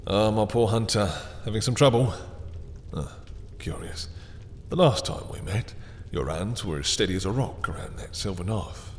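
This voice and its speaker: A low-pitched, dominant vampire acted by a man speaks with silky, mocking politeness, lingering over his words. It is an intimate, obsessive audio-drama performance. His voice rings in long reverb, with some noise behind it. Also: expressive, moderate pace, close to an everyday voice. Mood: mocking, courteous. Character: dominant, obsessive male vampire taunting a captured hunter.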